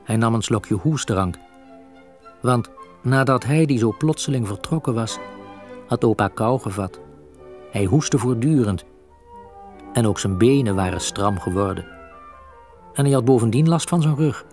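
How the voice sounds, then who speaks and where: A man narrating a children's story as a storyteller, expressive and with dramatic pauses. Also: medium pitch. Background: soft music.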